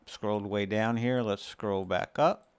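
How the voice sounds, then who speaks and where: Guy walking thru software step by step, lowish smooth voice, really dry sound. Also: fairly even intonation, measured pace, no echo. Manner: explanatory, step by step. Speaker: gaming video host. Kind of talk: casual screen-share tutorial.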